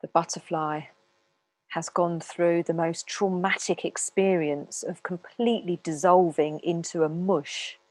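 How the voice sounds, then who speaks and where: A woman speaking as a meditation teacher, calmly guiding listeners in an unhurried way with long pauses. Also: on the low side, no echo, low-quality recording. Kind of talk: guided meditation talk.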